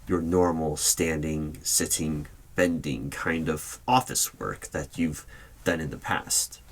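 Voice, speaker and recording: A man with a very low voice plays a stern hiring manager at a secret organization. He speaks out loud in a formal, deliberate interviewer's tone for an ASMR roleplay, with computer keys clacking behind him. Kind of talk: ASMR roleplay, spoken at normal volume. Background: computer keyboard typing.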